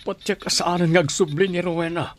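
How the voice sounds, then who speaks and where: Actor as a heartbroken, higher-voiced Ilocano man. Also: steady tone, fairly quick, natural voice. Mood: heartbroken, bitter. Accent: Ilocano Filipino. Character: heartbroken, bitter grown son. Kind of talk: Filipino radio drama acting.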